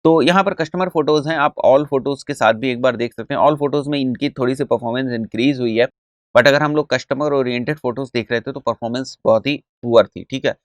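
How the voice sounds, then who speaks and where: Casting: male Indian-accented instructor, average pitch, explanatory and didactic, code-switching into English, dry close-miked sound. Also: brisk, dry recording. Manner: didactic, often checks with tags like "okay" and "right"; mixes English terms and phrases into speech. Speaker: digital marketing instructor. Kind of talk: explanatory tutorial, conversational.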